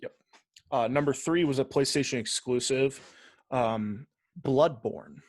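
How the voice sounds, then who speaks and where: Casual man podcasting, fairly low, dry sound. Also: measured pace, a little mellow, no echo. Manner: casual, frequent swearing. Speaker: podcast co-host. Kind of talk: casual chat, reading out a ranked list with commentary.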